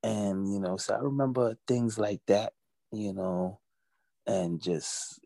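A man in his forties from Jamaica, raised in Brooklyn, chatting as an interview guest, relaxed and full of "you know.". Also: on the low side, slow pace, creaky, dry recording. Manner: relaxed, repeats himself, many "you know"s. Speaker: guest musician. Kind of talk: casual interview talk.

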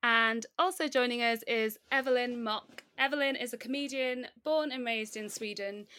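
A woman's voice: on the high side, bright, forceful tone, no room sound, clean studio sound. A woman from West Africa, co-hosting a podcast in an upbeat, engaged way. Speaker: co-host. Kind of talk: podcast talk.

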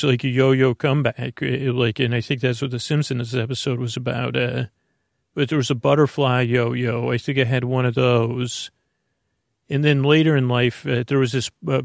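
A man's voice: mid-range voice, creaky, dry recording, studio quality. A man hosting a bedtime podcast, rambling through a meandering intro and often breaking off mid-thought. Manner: meandering, self-interrupting, stream of consciousness. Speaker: podcast host.

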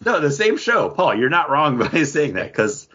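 A man co-hosting a casual geek-culture chat, talking in a halting, conversational way full of filler words. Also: fairly high pitch, sing-song, sharp tone, slightly rough, dull audio. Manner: halting, conversational, filler-heavy with frequent 'like'. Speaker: podcast co-host.

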